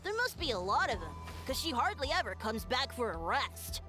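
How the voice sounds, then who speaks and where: High, lively earnest child. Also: female voice, moderate pace, over music. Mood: earnest, matter-of-fact. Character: earnest, chatty young child in a village. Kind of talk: video game voice acting.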